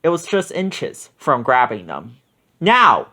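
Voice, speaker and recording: A reader narrating a children's story aloud in an expressive read-aloud style. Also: medium pitch, moderate pace.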